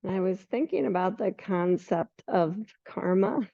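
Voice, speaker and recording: A hoarse middle-aged woman guiding a yoga class in a relaxed, unhurried way, with some fillers along the way. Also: medium pitch, dry recording. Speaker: yoga teacher. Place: yoga studio. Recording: muffled lo-fi recording.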